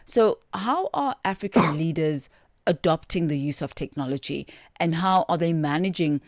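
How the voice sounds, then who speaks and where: Woman, radio host, very low voice, formal questions, dry studio sound. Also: animated, normal speed, dry recording. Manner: formal, with filler "uh" and "um". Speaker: radio business show host.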